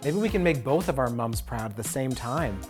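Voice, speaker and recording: A man's voice: medium pitch, expressive, brisk, faint music behind. A man hosting a casual cooking show, bantering in a joking, playful way. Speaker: comedian host of a cooking series.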